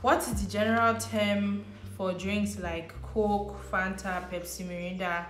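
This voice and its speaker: A woman's voice: medium pitch, steady tone, measured pace. A young woman in her twenties with a Nigerian accent, chatting casually to camera as she answers questions one by one. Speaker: vlogger. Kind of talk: casual vlog talk to camera. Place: living room indoors. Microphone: lapel mic. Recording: echoey room with music behind. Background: background music.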